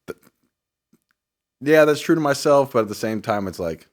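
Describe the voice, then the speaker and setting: A man's voice: medium pitch, animated, normal speed. A young man co-hosting a faith podcast, talking easily and thinking aloud with frequent agreeing yeahs. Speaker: podcast co-host. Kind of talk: casual chat.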